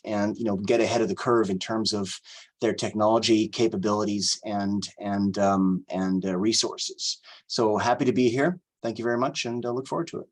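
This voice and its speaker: A man speaking as a panelist on an online call, halting and repeating words. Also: on the low side. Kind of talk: self-introduction on a video call panel.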